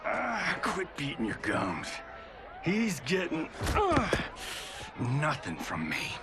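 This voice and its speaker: Cocky soldier, lively drawl, noisy ambience behind. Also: male voice, a little high, slow, heavily acted. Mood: defiant. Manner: brash, flirty, mocking. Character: cocky wisecracking male soldier officer. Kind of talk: video game cutscene acting.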